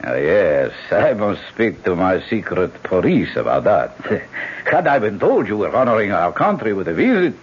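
A suave radio-drama dictator, very hoarse and husky, swooping widely in pitch with mock graciousness. The old recording is thin and phone-like. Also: male voice, big pitch swings, moderate pace. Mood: silky, mock-polite. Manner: smooth-mannered, mock-gracious. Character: suave dictator, falsely courteous and menacing.

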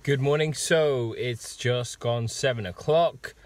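Husky man with a sore throat, slow. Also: medium pitch, no room sound. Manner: rambling, with many ums and restarts. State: hoarse with a sore throat and cough. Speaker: fitness coach. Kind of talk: casual live video talk.

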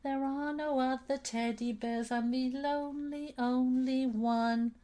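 A woman's voice: high voice, pitched above the performer's own voice. A woman doing a wailing lonely teddy bear. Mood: mournful. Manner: singsong, drawn-out wailing lament. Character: weepy lonely female teddy bear, mournful.

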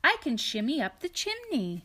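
A woman voicing a jolly Santa in a storybook read aloud, lifting her voice high and bright. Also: huge pitch swings, moderate pace, slightly rough, heavily acted. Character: cheerful jolly Santa, a grown man.

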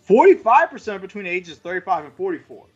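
Brief: male podcast host, late thirties to forties, husky, lively pitch, rambling and crude, dull lo-fi sound with faint music. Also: fast talker. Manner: rambling, crude joking, reads out stats with fillers. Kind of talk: casual podcast chat.

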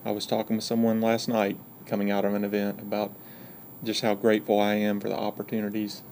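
A man's voice: fairly low pitch, monotone, fairly quick, darkish tone, slight background noise. A middle-aged man with a Georgia Southern accent, a university provost chatting as a podcast guest in a folksy, joking, frank way. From Georgia, USA. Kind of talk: casual interview chat.

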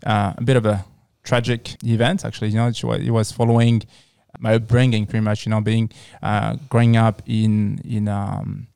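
A man from France with a French accent, answering casually as an interview guest in a halting, repetitive way. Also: fairly low pitch, little pitch movement, creaky, darkish tone, smooth, dry recording, studio quality. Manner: halting, repeats words and phrases.

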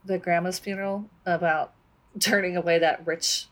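A woman co-hosting a book discussion, talking casually in a measured, deliberate way. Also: on the low side, steady tone, a bit bright.

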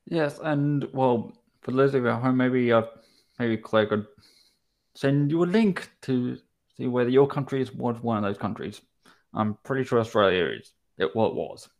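A man's voice: medium pitch, moderate pace, a little mellow. A young man hosting a casual podcast interview, talking hesitantly with many restarts. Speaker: podcast host.

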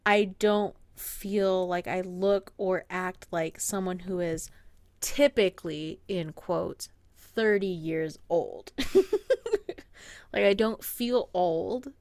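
A woman's voice: lowish voice, slow pace, a little mellow, dry recording. A woman in her late twenties co-hosting a podcast in casual chat, telling stories animatedly and laughing often between phrases. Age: late 20s. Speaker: podcast co-host.

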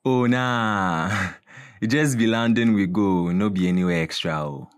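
A man's voice: on the low side, a little slow, smooth, close to an everyday voice. A man with a Ghanaian accent playing a breezy, boastful rich young friend in an audio drama, talking in a jokey, mocking way. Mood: cheerful, boastful.

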